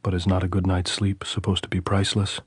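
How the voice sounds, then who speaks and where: A man voicing a calm, weary male soldier talking softly to the woman he loves. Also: sits low, husky, no room sound, studio quality. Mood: quiet tender. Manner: soft, tender, measured. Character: calm tired male soldier speaking tenderly to his lover.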